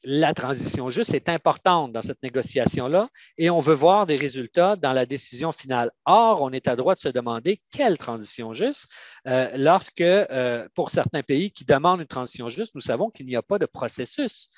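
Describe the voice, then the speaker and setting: A man's voice: mid-range voice, expressive, normal speed, dry recording. Quebecois guy on an online panel, explains stuff step by step w lots of hesitations, dry muffled lo-fi audio. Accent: Quebec French. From Canada. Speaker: trade union adviser speaking as a panelist. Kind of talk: answering questions in an online panel discussion.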